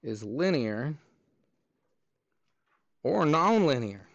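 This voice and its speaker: A man's voice: mid-range voice, big pitch swings, extremely slow, gravelly, dry recording, muffled recording. A man with a Texan accent teaching a middle school math class, chatty and joking with his students between problems.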